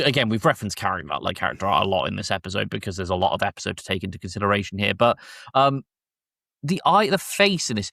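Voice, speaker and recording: A man's voice: on the low side, gravelly, bright, forceful tone, dry recording, studio quality. A man hosting a podcast, recapping and discussing in a casual, conversational way. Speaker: podcast host.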